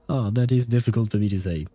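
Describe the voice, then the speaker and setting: A man's voice: medium pitch, animated, fairly quick, warm tone, no room sound, faint music behind. A man hosting a game livestream, giving casual, rambling commentary as he thinks aloud. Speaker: livestream host.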